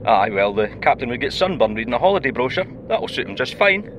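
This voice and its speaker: A man's voice: mid-range voice, big pitch swings, fast talker. A man playing a teasing, dry crewman in a sci-fi audio drama, heard through a radio filter. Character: male space crewman, teasing, dry banterer. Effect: radio comms filter. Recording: muffled noisy radio link.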